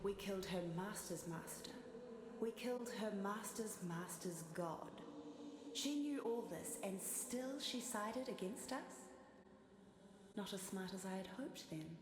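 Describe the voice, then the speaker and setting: A woman's voice: mid-range voice, lively intonation, measured pace, echoey room, natural voice. A woman playing a haughty, sardonic sword spirit in noisy game audio. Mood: cool contempt. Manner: wry, theatrical, disdainful. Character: haughty sardonic sentient fire-loving sword spirit, female. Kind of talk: scripted video game voice lines.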